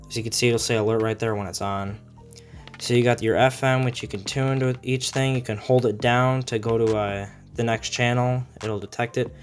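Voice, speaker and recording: A man giving a casual, informal hands-on product review, explaining features as he goes. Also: medium pitch. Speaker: gadget reviewer.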